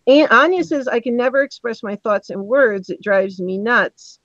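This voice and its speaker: A woman with a fairly high voice hosts a casual online discussion. She is expressive and talkative, wandering from point to point as she responds to comments. The sound is dry and close, a little dull and lo-fi. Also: normal speed, no room sound. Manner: chatty, expressive, rambling. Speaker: host of a panel discussion show. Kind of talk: casual chat, reading and answering live comments. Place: indoors, online live stream.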